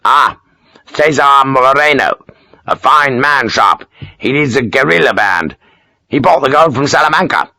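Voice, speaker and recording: A man voicing a gruff, pious older army major who talks in curt, self-important bursts. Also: mid-range voice, lively intonation, a little slow, sharp tone, no echo, voice pushed up, full character voice. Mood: lecturing. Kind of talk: audiobook character voice.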